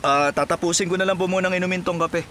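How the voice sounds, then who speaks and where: A man's voice: high voice, steady tone, fast talker, pushed and bright, slight background noise. A Filipino man playing a charming, easygoing married police captain in a drama, with a folksy, teasing manner. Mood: polite, relaxed. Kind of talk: Filipino radio-play style drama acting.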